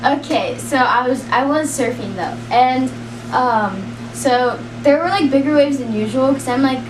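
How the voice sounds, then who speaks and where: A young woman telling a personal story in a rambling, casual way, full of "like" and quick laughs. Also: high-pitched. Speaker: storyteller guest. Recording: roomy, distant, noisy.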